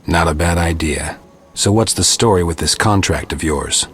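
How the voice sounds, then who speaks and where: A man playing a stoic, terse monster hunter in a video game, speaking in a dry, questioning way with a husky rasp. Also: low-pitched, moderate pace, slight background noise, lightly acted. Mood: terse, reserved. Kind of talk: video game dialogue acting.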